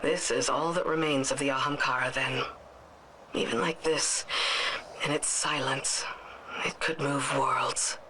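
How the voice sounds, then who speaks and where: Casting: assured military leader, composed game delivery, clear background noise. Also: female voice, very deep voice, steady tone, a little slow. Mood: solemn. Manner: composed, authoritative. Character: composed, steadfast female military leader, brisk and reassuring. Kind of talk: dramatic video game acting. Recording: clearly noisy ambience behind.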